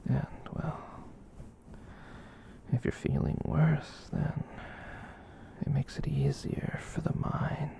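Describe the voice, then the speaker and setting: Gentle giant catboy someone acting it whispering asmr comfort, soft dark tone, really slow soothing rambles. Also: mid-range voice, fairly even intonation. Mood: tender, shy. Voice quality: breathy whisper. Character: gentle giant catboy, soothing, reassuring, shyly self-conscious.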